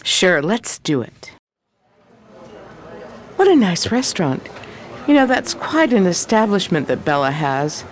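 Actress as inquisitive 1920s crime heroine, slightly low pitch, lively intonation, teasing, slangy, radio play. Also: female voice, measured pace, long pauses. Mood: sociable, curious. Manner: sociable, probing, slangy. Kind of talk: 1920s period radio theater acting.

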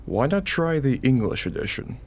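An actor playing a young schoolteacher husband in a radio play, anxious, dutiful and a little exasperated. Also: male voice, mid-range voice, sing-song, moderate pace. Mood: concerned. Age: young adult. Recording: faint background noise.

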